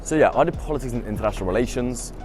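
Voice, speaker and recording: A man's voice: mid-range voice, animated, rapid-fire, darkish tone, faint music behind. A young man in his twenties, a students' union officer who grew up in the UK, answering interview questions in an upbeat, chatty way with lots of "I mean" and "um". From United Kingdom. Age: 20s.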